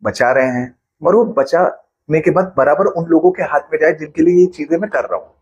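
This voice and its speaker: A man's voice: a little high, normal speed, muffled recording. A man, a financial planner patiently explaining money matters with everyday examples in an interview.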